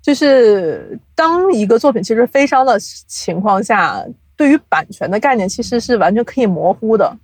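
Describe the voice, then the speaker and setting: A woman with a fairly high voice talks as a guest on a casual interview show. She explains the audio drama business eagerly and conversationally, prone to chuckling. The sound is very dry and close. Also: moderate pace, hardly any pauses, no room sound. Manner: animated explainer, often breaks into chuckles. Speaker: guest, audio drama producer and company CEO.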